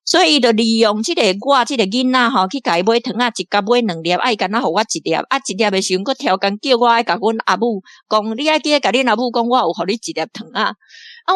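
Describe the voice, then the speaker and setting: A woman from Taiwan, a guest reader, talking through a radio drama in a lively way, often laughing and restarting her phrases. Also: mid-range voice, no echo, clean studio sound. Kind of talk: casual on-air discussion.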